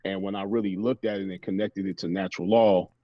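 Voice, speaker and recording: A man's voice: low voice, fairly even intonation, fast talker, dry recording, lo-fi sound. An American man talking casually and reflectively as an interview guest, philosophical in the way of a motivational speaker, often checking in with "you know".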